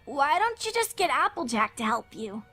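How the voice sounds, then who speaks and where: Wary young cartoon pony girl: very high, bright, childlike, earnest, storybook delivery over music. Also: big pitch swings, moderate pace, exaggerated voice. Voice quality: childlike, squeaky. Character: scared suspicious young filly ponies, small and earnest. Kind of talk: dramatic fan fiction reading.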